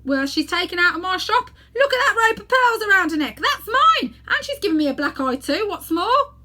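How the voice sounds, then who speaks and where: An outraged fat shopkeeper as a woman storyteller plays him, blustering and accusing at the top of the voice. The pitch leaps high and the sound is bright and forceful, as in a lively storybook reading. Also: normal speed, full character voice. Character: indignant fat male shopkeeper, loudly accusing a thief.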